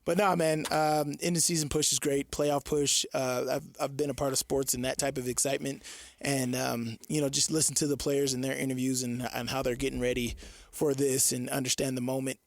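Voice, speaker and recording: A man co-hosting sports radio in a casual, upbeat and rambling, halting way on a close studio mic. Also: medium pitch, flat delivery, no echo. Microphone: close studio radio microphone.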